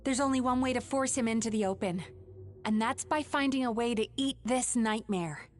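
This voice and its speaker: Woman playing a dream-eating young woman, calm, composed, gentle and serious, slightly high voice, game music behind. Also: normal speed, natural voice. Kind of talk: video game voice acting.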